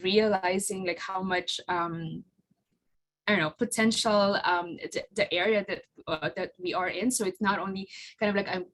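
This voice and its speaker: A woman's voice: mid-range voice, fairly bright tone, poor audio. A woman in her forties from the Philippines, whose first language is Tagalog, gives a casual presentation over a video call, hesitant and full of fillers and restarts. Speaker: guest speaker presenting her flower farm.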